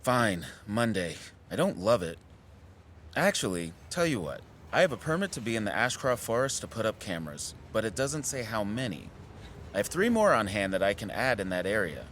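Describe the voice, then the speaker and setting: A man's voice: on the low side, sing-song, moderate pace, fairly bright tone. A man playing an earnest, protective conservationist in an audio drama, plainspoken, over noisy outdoor city ambience. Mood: resolved, cautious. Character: earnest, protective male bird conservationist and forest defender.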